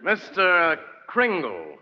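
A formal, pointed male prosecutor in a forties radio play. His delivery is declamatory and rather high. The recording is muffled, with faint noise. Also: wildly swooping pitch, slow, full character voice. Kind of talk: 1940s radio drama acting. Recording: dull, faintly noisy vintage radio, reverberant.

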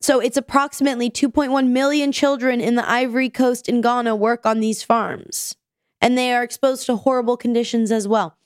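A woman's voice: on the high side, steady tone, a little fast, smooth, no echo, studio quality. A woman co-hosting a casual podcast, chatty and sick with a nasal voice. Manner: chatty, tells stories with acted quotes. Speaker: podcast co-host.

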